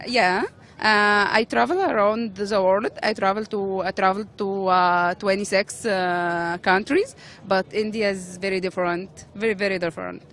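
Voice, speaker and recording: A woman's voice: medium pitch, steady tone, normal speed, a bit bright, a little hiss or hum. Hesitant woman from Egypt. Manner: hesitant, many fillers. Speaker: journalist.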